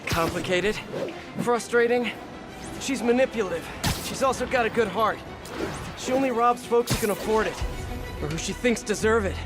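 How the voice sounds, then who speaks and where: A man's voice: high-pitched, normal speed, music in the background, natural voice. An actor playing a wisecracking, eager young American male superhero in a video game, with a casual delivery. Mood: defensive, fond. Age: young adult.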